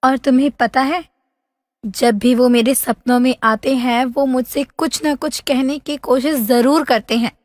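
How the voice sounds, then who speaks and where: High-voiced, slow-speaking lovesick young princess. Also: female voice, fairly even intonation, clean studio sound. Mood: wistful, troubled. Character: lovesick, wistful young princess in a fairy tale. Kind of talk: animated fairy-tale voice acting. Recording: long hall-like reverb.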